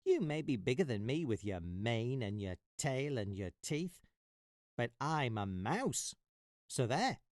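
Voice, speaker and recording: A man voicing a tiny, bold male mouse in a high, squeaky voice. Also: very animated, slow, darkish tone, dry recording, studio quality. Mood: defiant.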